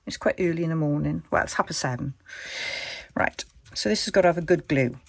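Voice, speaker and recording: Chatty woman, croaky voice. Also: deep voice, fairly quick, no echo. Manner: chatty, thinks aloud while working. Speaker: crafter demonstrating junk journal covers. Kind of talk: casual craft tutorial.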